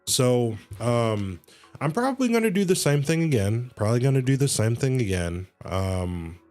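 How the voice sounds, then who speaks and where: A man's voice: medium pitch, very animated, normal speed, darkish tone. A man giving casual, rambling livestream commentary on a game, often repeating himself. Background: faint game music.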